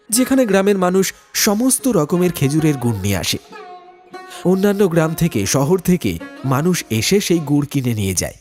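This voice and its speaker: Male narrator, high voice, sweeping intonation, dramatic scripted ghost story, clear music bed. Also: a little mellow.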